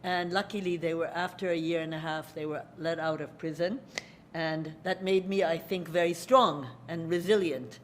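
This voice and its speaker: An older woman whose first language is Hungarian, speaking in a low-pitched voice at a lecture podium. She talks in a conversational, personal way and addresses the children in the audience. A faint rumble sits under the podium microphone. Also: fairly even intonation, moderate pace. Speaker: guest lecturer. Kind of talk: public lecture.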